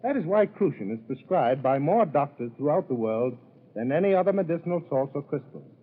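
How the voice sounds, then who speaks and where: A man's voice: fairly high pitch, expressive, brisk. A man as a sponsor's announcer reading a formal, solemn 1930s radio advertisement. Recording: tinny, scratchy-voiced 1930s radio recording.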